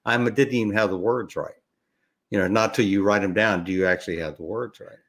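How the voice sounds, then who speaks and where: An older man talking as a podcast guest, rambling and halting with many fillers and restarts. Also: on the low side, fairly even intonation, fairly bright tone. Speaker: podcast guest, ukulele educator. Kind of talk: relaxed conversational interview.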